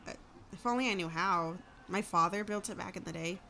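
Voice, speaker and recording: A woman's voice: fairly low pitch, fairly quick, pushed and bright, a little hiss or hum. A woman playing a plain-spoken, self-deprecating village farmer in an audio drama. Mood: wry, friendly. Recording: clear farmyard ambience with livestock.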